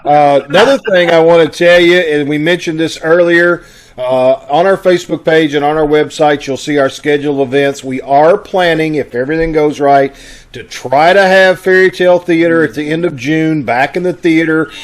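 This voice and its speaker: A man's voice: on the high side, normal speed. A man hosting an amateur theater troupe, speaking in a folksy, conversational way over a video call. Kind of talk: informal announcements to an online audience.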